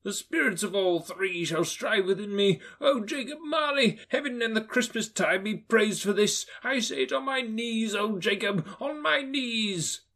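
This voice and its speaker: A man reading aloud puts on an old miser with a voice pushed high and wobbly, exclaiming breathlessly as he laughs and weeps at once. The pitch leaps about wildly from line to line in this storybook telling. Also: lively intonation, unhurried, few pauses, bright, forceful tone, heavily acted. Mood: giddy, overjoyed. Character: old male miser, overjoyed and repentant. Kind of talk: audiobook character reading.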